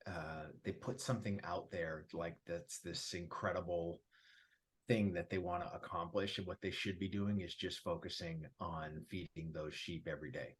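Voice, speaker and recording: A man with a very low voice talks through a relaxed group conversation. He is emphatic about his points and laughs easily. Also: moderate pace. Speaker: featured guest, app founder. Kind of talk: casual conversational interview.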